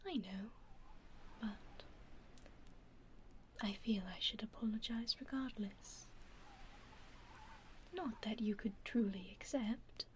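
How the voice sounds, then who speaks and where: A British woman playing a centuries-old vampire lady, aloof yet caring, in a breathy, hushed whisper close to the microphone for ASMR roleplay. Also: fairly high pitch, a little hiss or hum. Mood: gentle. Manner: hushed, unhurried, intimate. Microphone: close binaural microphone.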